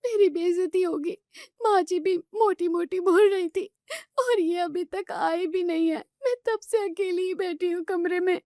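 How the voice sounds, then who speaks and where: A woman storyteller acts out a young newlywed bride in a family story. Her voice is very high and sobbing, timid and wounded, in a dry recording. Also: a little slow, dark tone, no room sound. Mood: tearful, hurt. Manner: plaintive, sobbing delivery. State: crying. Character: tearful young bride, hurt and timid sister-in-law.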